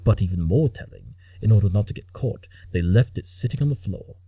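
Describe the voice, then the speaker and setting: A man playing an arrogant, brilliant cartoon pony detective who talks in a clipped, condescending, deliberate way. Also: on the low side, expressive, warm tone, breathy edge, no room sound. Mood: deductive, intent. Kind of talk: amateur cartoon audio drama acting.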